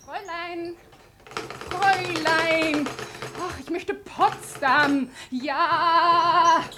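A woman's voice: extremely high pitch, steady tone, drawn-out words, music in the background, heavily acted. A woman acting a flustered, impatient telephone caller in a 1950s German radio play, insistently repeating herself. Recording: old radio recording with voices behind.

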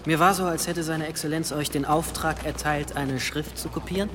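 An actor playing a prim, sly bishop's servant in a radio drama, speaking with pointed formal politeness. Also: male voice, a little high, steady tone, normal speed, noisy background, close to an everyday voice. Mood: coolly reproving. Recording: long reverb with music behind.

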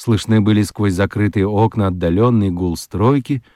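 A man reads scripted narration for a children's radio play. His voice sits on the low side, and he tells the story expressively. The sound is dry, with no room around it. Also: moderate pace, dark tone, no echo.

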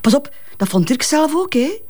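A woman's voice: sits high, sing-song, normal speed, a little mellow. An actress playing a grieving, protective mother in a Flemish crime drama, speaking hesitant, colloquial West Flemish with repeated words. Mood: chatty.

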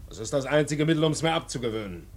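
Mouthy scared second mate on a ship, kinda high voice, excitable and cheeky, radio play. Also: male voice, fast. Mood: cheeky defiance. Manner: chatty, irreverent, excitable. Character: cheeky, fearful, garrulous male second mate at sea. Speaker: actor in a radio drama. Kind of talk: German radio play acting.

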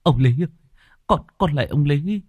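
A man voicing a beaten young peasant who begs for mercy in a high, whimpering voice. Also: expressive, moderate pace, darkish tone, dry recording. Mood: pleading.

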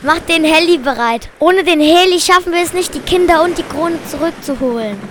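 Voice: extremely high pitch, fairly quick, background noise. A child of about eight or nine playing a bold, commanding secret agent in an amateur school radio play. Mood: commanding, urgent. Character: bold secret agent with a dog sidekick, leading rescues.

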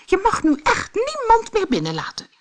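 Woman voicing high-pitched, insistent storybook dwarfs. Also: huge pitch swings, normal speed, exaggerated voice. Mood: urgent warning. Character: band of protective, wary little male dwarfs.

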